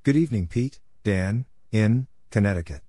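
A man reading scripted radio-practice exchanges in short, deliberate, clearly separated phrases. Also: lowish voice, lively intonation, mellow, dry recording, clean studio sound. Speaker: Morse code practice narrator.

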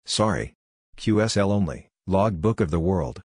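A man reading out short scripted radio phrases as a practice narrator, in a measured, clipped, phrase-by-phrase way. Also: deep voice, lively intonation, a little mellow, husky, dry recording.

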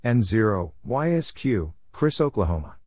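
A man's voice: lowish voice, sing-song, normal speed, a little mellow, no room sound. A man announcing Morse code practice, reading letters, numbers and short phrases in clipped, separated words.

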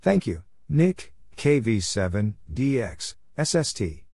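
A man reading out practice exchanges as a narrator, in a measured way, spelling out letters one at a time. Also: mid-range voice, very animated, creaky, mellow, slightly rough, no room sound, studio quality. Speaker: Morse code practice narrator.

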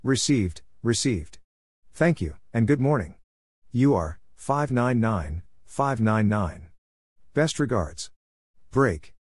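A man narrating scripted radio exchanges for Morse code practice, reading in a measured way and spelling out call signs letter by letter. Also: mid-range voice, expressive, darkish tone, slightly rough, no room sound, clean studio sound.

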